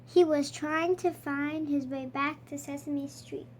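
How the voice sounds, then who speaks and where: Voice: high voice, fairly even intonation, measured pace. A child reading a children's picture book aloud as a storyteller, with faint noise in the recording. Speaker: storybook reader.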